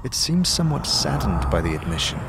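A man's voice: on the low side, big pitch swings, brisk, gravelly, very noisy. A man giving scripted second-person narration for a fantasy audio drama. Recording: music behind the voice.

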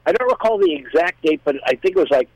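A man chatting casually as a guest, explaining things in a rambling, halting way. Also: extremely high pitch, huge pitch swings, gravelly, a little mellow, no room sound, low-quality recording. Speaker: guest, musician. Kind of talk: casual chat.

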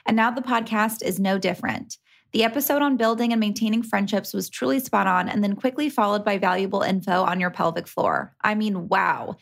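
A woman's voice: medium pitch, a little fast, vocal fry. A woman hosting a chatty podcast, speaking in an enthusiastic, informal and gushing way. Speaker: podcast host and interviewer.

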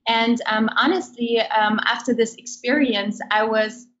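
A woman's voice: a little high, steady tone, unhurried, fairly bright tone, muffled recording. Raised woman with hesitant ums. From Germany. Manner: many hesitant ums while explaining. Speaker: podcast guest. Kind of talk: interview conversation.

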